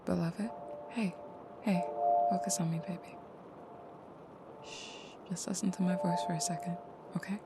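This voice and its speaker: A woman playing an intimate vampire girlfriend in an audio roleplay, speaking softly and often dropping into a whisper. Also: on the low side, fairly even intonation, measured pace, long pauses, loud music behind the voice. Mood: tender, soothing. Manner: soft, breathy murmuring, often whispered, soothing. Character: female vampire girlfriend, protective and tender, menacing in nightmare.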